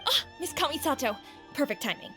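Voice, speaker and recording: Breezy, high-voiced eatery owner. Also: female voice, wildly swooping pitch. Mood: cheerful, welcoming. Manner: brisk, chatty, welcoming. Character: friendly, breezy young female eatery owner. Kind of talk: video game character acting. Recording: music behind the voice.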